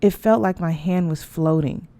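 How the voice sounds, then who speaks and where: A woman reading a novel aloud, narrating and giving the characters lively voices that rise high in their dialogue. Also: normal speed. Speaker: audiobook reader.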